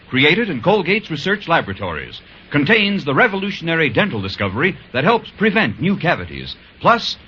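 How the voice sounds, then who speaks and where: A man's voice: on the high side, bright, forceful tone. An American man announcing and reading adverts in polished, emphatic 1940s radio style. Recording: dull old radio recording, faint music behind.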